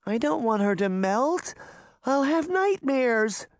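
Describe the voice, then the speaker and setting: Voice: high voice, sing-song, slow pace, no room sound, clean studio sound, pitched above the performer's own voice, full character voice. A narrator voicing a frightened, stubborn little girl who refuses to hurt anyone. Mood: upset. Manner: pleading, upset. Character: small frightened girl, soft-hearted and stubborn.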